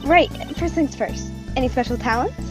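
A woman's voice: high voice, lively intonation, moderate pace, music in the background. A woman voicing a breezy, bossy teenage girl stage magician in a comedic game fandub, chirpy and teasing.

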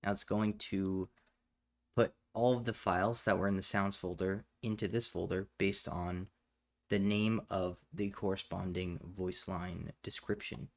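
A man with a fairly low voice walks through a software tutorial. He speaks in a plain, instructional manner, explaining things step by step as the presenter. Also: fairly even intonation, unhurried, creaky. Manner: matter-of-fact, instructional.

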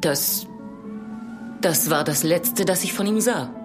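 An actress playing a grave, fearful young village woman in a horror radio drama, in a hushed, sorrowful manner. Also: fairly low pitch, takes it slowly. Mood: fearful urgency. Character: sorrowful young village woman recounting her family's doom. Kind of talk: German radio drama narration and dialogue. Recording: music behind.

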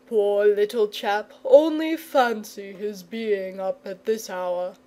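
A woman's voice: mid-range voice, takes it slowly, dark tone, close to an everyday voice. A woman voicing a sleepy, jovial, lazy big male dragon with a rambling drawl for a children's storybook. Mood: blustering, genial. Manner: drowsy drawl, slurred and rambling.

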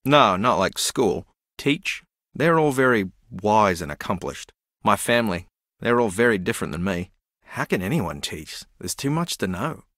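A man's voice: lowish voice, very animated, normal speed, a bit bright, no echo, studio quality. An actor playing a dreamy, easily confused young man in a video game, earnest, naive and meandering as he muses. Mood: musing.

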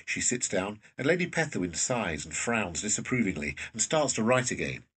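A man's voice: fairly low pitch, big pitch swings, normal speed, fairly bright tone, slightly rough, recorded from a distance. A man reading scripted stage directions as the narrator of a drama, a little off the microphone.

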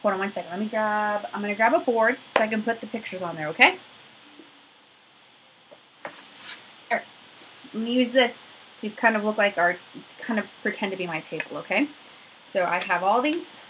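A woman's voice: medium pitch, normal speed, long pauses, fairly bright tone, a little hiss or hum, low-quality recording. A woman in her thirties leading a craft activity for young children, talking to them directly in an encouraging way, recorded on a webcam at home. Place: small furnished room at home, indoors.

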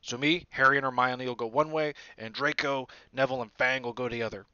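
Guy putting on a big loud gruff groundskeeper, rustic talk, bright edge, dull lo-fi sound. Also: steady tone, fairly quick, no room sound, close to an everyday voice. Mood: gruff, stern. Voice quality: booming, broad rustic dialect.

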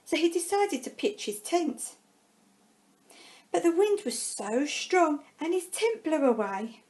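A woman reading a children's storybook aloud expressively, with dramatic pauses and drawn-out words. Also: high-pitched, a little mellow. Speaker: primary school staff member reading a storybook.

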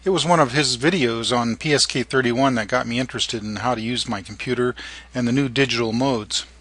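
A middle-aged or older man narrating an informal tutorial in halting, short phrases. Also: medium pitch, fairly bright tone, no echo. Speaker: amateur radio operator and narrator.

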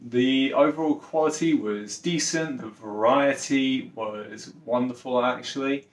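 A man's voice: medium pitch, unhurried, roomy sound. A man in his thirties giving a casual, upbeat review, listing points one by one. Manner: upbeat, listing scores item by item. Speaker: travel vlogger.

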